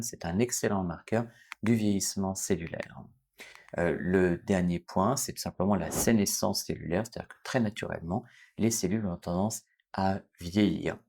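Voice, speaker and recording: A man in his forties, a doctor from France, explaining a topic to his viewers in an unscripted way, pausing often to correct himself and adding fillers. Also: deep voice, darkish tone. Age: 40s. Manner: explanatory, many hesitations and self-corrections. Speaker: doctor and channel host. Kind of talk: unscripted educational talk to camera.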